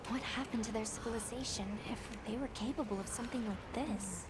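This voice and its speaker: A woman's voice: on the high side, brisk, heavy background noise, close to an everyday voice. A woman playing a composed, inquisitive young hunter in a video game cutscene. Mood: curious. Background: loud music.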